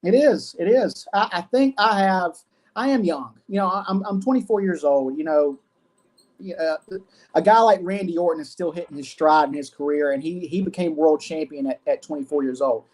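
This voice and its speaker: A man's voice: on the high side, moderate pace, a little mellow. A man in his twenties, a wrestling guest answering earnestly and often repeating himself. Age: 20s. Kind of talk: casual interview.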